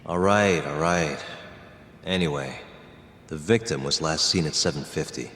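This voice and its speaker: An actor playing a calm, stubborn young defense lawyer in a video game drama, earnest, measured and understated. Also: male voice, rumbling low, echoey room, long reverb tail, slight background noise. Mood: cool, probing.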